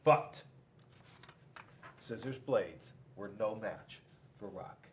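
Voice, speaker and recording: A man reading a children's storybook aloud in an animated style, with dramatic emphasis and drawn-out words. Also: fairly low pitch, a little hiss or hum. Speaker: police school resource officer reading to children.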